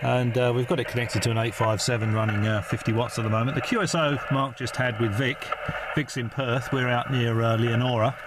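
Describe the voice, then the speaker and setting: A man's voice: mid-range voice, steady tone, a little fast. A man narrating a casual amateur radio vlog from inside a vehicle, explaining things in a hesitant, unscripted way. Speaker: amateur radio operator presenting a video. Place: inside a parked four-wheel drive in the outback. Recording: very noisy hand-held in-car recording, radio static. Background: radio crackle and vehicle ambience.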